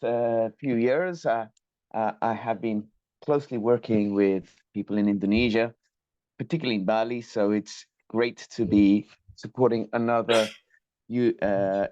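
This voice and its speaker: A man's voice: mid-range voice, a little mellow, dry recording. Man lecturing over a dull video call. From Colombia. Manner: measured, polite, academic, with fillers. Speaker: guest lecturer, structural engineer. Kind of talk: online lecture presentation.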